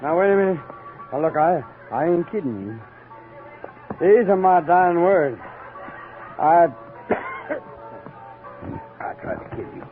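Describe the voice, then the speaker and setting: Dying drunk cowboy, folksy western drawl, voice up n down all over, old tinny radio. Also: male voice, high-pitched, expressive, slow, long pauses, soft background music. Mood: remorseful, weak. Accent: American Western. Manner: rambling, folksy, melodramatic. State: weak, in pain. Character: wounded, drunken, remorseful cowboy, dramatically dying. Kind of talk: 1950s radio western acting. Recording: narrow, muffled old radio recording.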